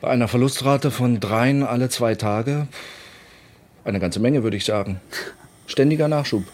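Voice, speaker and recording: An actor playing an obsessive, demanding man who directs others in a naturalistic radio drama, halting yet insistent. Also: mid-range voice, a little mellow, close to an everyday voice. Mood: matter-of-fact.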